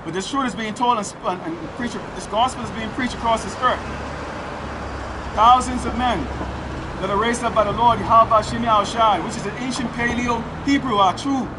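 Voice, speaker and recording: A man street preaching outdoors, declaiming scripture slowly and firing rhetorical questions at passersby. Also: very high voice. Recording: loud street traffic noise.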